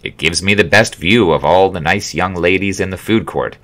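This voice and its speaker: Kindly elderly gentleman as a male narrator puts him on, good-natured restaurant regular in a memoir audiobook. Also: sits low, moderate pace. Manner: good-natured, chuckling, leisurely. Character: kind, grandfatherly old male restaurant regular.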